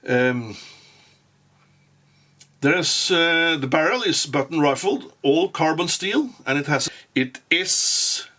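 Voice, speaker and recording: Norwegian man halting through a review. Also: a little high, animated, bright, forceful tone. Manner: halting, many fillers and restarts. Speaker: gun reviewer. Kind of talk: casual product review.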